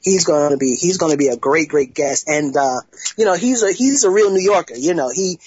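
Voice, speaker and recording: Husky-voiced man hosting an internet talk show. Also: on the high side, lively intonation, a little mellow, no echo. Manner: halting, many restarts and fillers. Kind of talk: casual podcast chat. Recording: dull lo-fi sound, dry.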